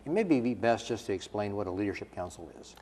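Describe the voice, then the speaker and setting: A man's voice: fairly low pitch, lively intonation, quick pace, mellow. A man hosting a local TV interview show, chatting friendly with frequent fillers and restarts. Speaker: host, chapter executive director. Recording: echoey studio with faint hiss.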